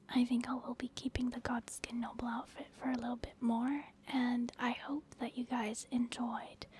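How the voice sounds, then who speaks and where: Soft whispery girl doing asmr game commentary, slow and gentle, pretty flat pitch. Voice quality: breathy, hushed whisper. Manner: gentle, unhurried, softly explaining. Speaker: host of a gaming ASMR channel.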